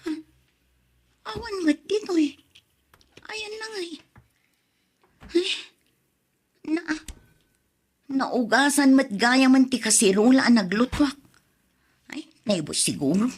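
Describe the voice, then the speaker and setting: A woman's voice: high-pitched, lively intonation, slow, pauses often, husky, exaggerated voice. A woman playing a meek, put-upon young wife in a radio drama, hesitant and plaintive. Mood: hungry, hesitant. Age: young adult.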